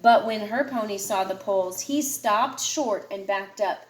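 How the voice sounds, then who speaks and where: A woman's voice: medium pitch, a little slow, roomy sound, slight background noise. A woman reading a children's picture book aloud to a child, expressively. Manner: expressive, puts feeling into the dialogue. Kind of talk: read-aloud storytelling to a child.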